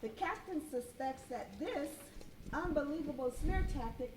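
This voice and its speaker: A woman's voice: high voice, expressive, normal speed, recorded from a distance. A woman playing an earnest TV newscaster in an amateur live radio skit. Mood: urgent. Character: earnest female TV newscaster breaking alarming news. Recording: echoey room with background noise.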